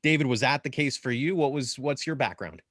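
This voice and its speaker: A man's voice: mid-range voice, brisk, fairly bright tone, dry recording, studio quality. A middle-aged man moderating a panel discussion on a video call, casual and guiding. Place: home office.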